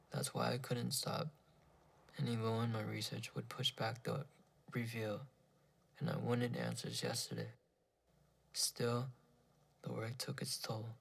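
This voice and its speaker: A man with a gravelly, husky voice reading a first-person horror story in a brooding, dramatic, deliberate way. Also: mid-range voice, a bit bright.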